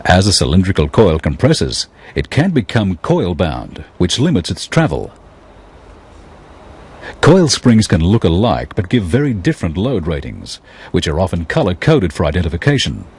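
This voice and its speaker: A man giving scripted technical narration in a measured, explanatory way. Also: deep voice, huge pitch swings, darkish tone, husky.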